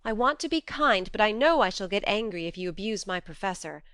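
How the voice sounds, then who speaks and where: A woman voicing an earnest, strong-willed young woman who reasons gently but firmly. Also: on the high side, normal speed, dry recording, studio quality, voice pushed up, lightly acted. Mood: reasoning.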